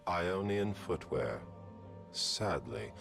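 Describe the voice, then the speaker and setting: A male actor playing a vain, theatrical masked artist assassin in a video game, speaking with deliberate drama. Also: sits low, very animated, creaky, full character voice. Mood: haughty, wry. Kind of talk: game character voice lines. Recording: game audio with music behind.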